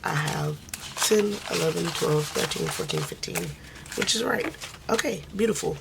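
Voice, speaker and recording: A woman who is losing her voice speaks softly and casually, counting money aloud in a budgeting vlog. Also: wildly swooping pitch, moderate pace, creaky, background noise. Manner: soft, low-key, counting under her breath. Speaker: budgeting vlogger.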